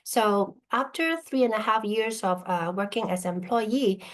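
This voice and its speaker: A woman's voice: mid-range voice, moderate pace. A middle-aged woman, born in Burma and raised in Hong Kong, talking casually to an online group as a guest presenter over a video call. She often stops to ask her listeners "okay?". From born in Burma, grew up in Hong Kong. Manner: checks in with listeners by asking "okay?".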